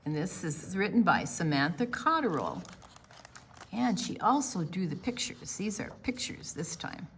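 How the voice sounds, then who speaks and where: Older lady doing kids storytime, bright voice, slow n theatrical, stretches words, hushed asides. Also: lowish voice, big pitch swings, a little hiss or hum. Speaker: children's library storytime reader. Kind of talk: animated read-aloud narration for small children.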